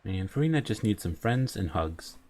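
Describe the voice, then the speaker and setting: Low-voiced woman streaming a game. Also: animated, moderate pace, darkish tone. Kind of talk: casual playthrough commentary. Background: faint game music.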